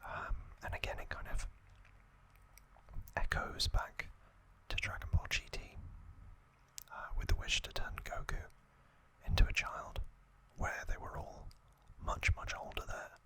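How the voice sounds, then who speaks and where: A man hosting ASMR, giving a trivia recap in a soft, breathy, unvoiced whisper with halting, informal asides. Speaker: ASMR host.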